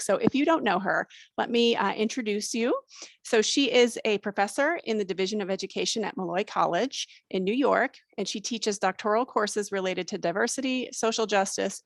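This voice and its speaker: An upbeat woman hosting a webinar, introducing the guest speaker over a video call. Her voice sits at a mid pitch and sounds dry and close, with no room echo. She talks with frequent ums and restarts. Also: gravelly. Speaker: webinar host.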